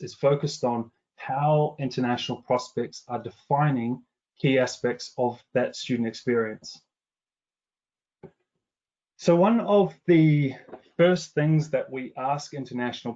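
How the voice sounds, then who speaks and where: A man's voice: medium pitch, darkish tone, muffled recording. A middle-aged man from New Zealand with a slightly anglicized New Zealand accent, presenting survey findings in a webinar, with frequent ums and restarts. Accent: New Zealand, partly anglicized after years in England. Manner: many fillers and restarts, measured business tone. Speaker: commercial director presenting research.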